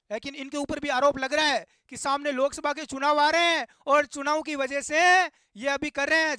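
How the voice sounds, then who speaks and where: A man's voice: very high-pitched, sharp tone, dry recording, clean studio sound. A man giving impassioned, indignant commentary and urging his viewers on in an exhortative, repetitive way. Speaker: YouTube host.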